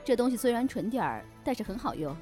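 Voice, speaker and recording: A woman's voice: fairly high pitch, sing-song, fast, over loud music. A woman playing a shrewd, breezy keeper of a demon inn, teasing and bossy in an offhand way, in an audio drama.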